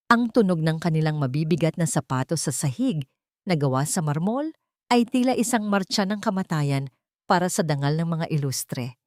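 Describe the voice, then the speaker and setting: A woman's voice: deep voice, darkish tone, dry recording, studio quality. A woman narrating a scripted story in a solemn, melodramatic manner.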